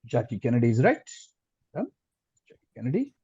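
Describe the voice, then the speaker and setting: Male quiz host, mellow husky voice, deliberate question reading, close dry sound with dull lo-fi call audio. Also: mid-range voice, huge pitch swings, dry recording. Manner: deliberate, repeats answers and phrases. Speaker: quizmaster.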